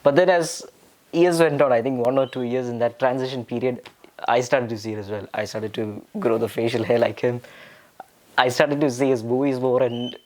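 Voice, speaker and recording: A young man from India answering interview questions casually, with many pauses and fillers. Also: mid-range voice, fairly even intonation. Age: young adult. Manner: hesitant, with frequent fillers and restarts. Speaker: interview guest.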